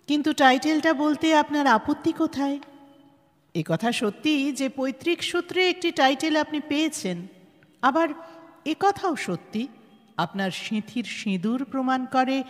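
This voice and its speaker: In a long, hall-like reverb, a woman acts out a stern woman in charge of an organisation, speaking with a Bengali accent. Her delivery is formal and probing, with lively swings of pitch, in the style of an audio drama. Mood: formal, curious. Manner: measured, interrogating, firm and formal. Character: composed, authoritative woman heading a welfare organisation, probing and stern.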